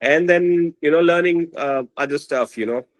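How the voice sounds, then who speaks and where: A man, a podcast guest, talking in a hesitant, halting way full of fillers. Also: a little high, dry recording. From India. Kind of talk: casual interview talk.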